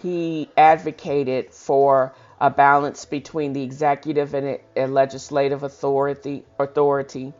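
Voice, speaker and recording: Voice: medium pitch, level tone, moderate pace, few pauses, darkish tone. A person reading a historical speech aloud with informal commentary, stumbling now and then and correcting themselves, in a dull, lo-fi recording. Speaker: host of a reading show. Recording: dull lo-fi sound.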